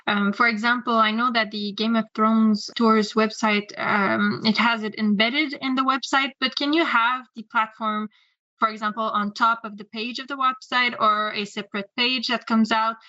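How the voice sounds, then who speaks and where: Bright-voiced woman asking hesitantly. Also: fairly high pitch, fairly even intonation, normal speed, dry recording, muffled recording. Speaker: guest panelist. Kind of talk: casual video call interview.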